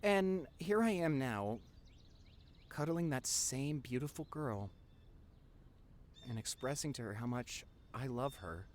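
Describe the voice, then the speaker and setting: Casting: male actor as introverted nerdy young boyfriend, mid-pitched, earnest, stammering, intimate audio roleplay, faint noise behind. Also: lightly acted. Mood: affectionate. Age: young adult. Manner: nervous stammering, earnest, rambling. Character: nerdy introverted young boyfriend, wacky, tender, passionate artist.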